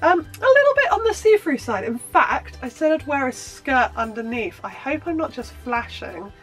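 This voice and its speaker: A woman's voice: high voice, very animated, normal speed, soft background music. Bubbly woman with a stuffy cold. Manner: bubbly and rambling, with many ums and apologies. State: congested from a cold. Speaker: sewing vlogger. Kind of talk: casual chatty show-and-tell.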